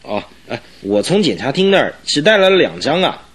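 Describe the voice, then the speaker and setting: A man's voice: on the high side, very animated, brisk, husky. An actor playing a wary, put-upon male police captain in a radio drama, grumbling and hemming as he talks. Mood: grudging, wary. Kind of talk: Mandarin radio drama acting.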